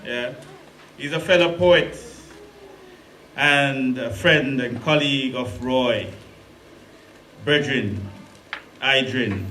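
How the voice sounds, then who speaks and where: A man with a hosts a live event, calling out to the audience with a forceful, bright tone. He speaks slowly. The hall is echoey, with a crowd faintly audible. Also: mid-range voice, a little hiss or hum. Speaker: event host.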